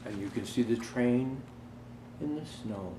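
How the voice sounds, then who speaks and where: A man's voice: medium pitch, unhurried, voice pushed down, lightly acted. A man playing Santa Claus as a jolly old storyteller, reading a children's storybook aloud with chatty asides. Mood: gentle storytelling. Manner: jovial ho-ho greetings, chatty asides. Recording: roomy echo, faint background noise.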